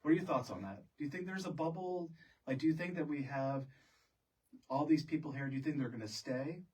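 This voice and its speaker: An American man with a husky voice, hosting a casual conversational interview in a chatty, joking way. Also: on the high side, fairly quick, a little mellow. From Florida, United States. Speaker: podcast host. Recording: distant microphone.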